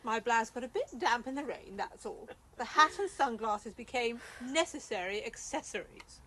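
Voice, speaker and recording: A woman's voice: a little high, animated, normal speed, fairly bright tone. A woman playing a haughty, proper, bossy middle-aged British chairwoman in an amateur radio comedy. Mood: indignant. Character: prim, bossy older club chairwoman, indignant and dignified. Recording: faint background noise, home recording.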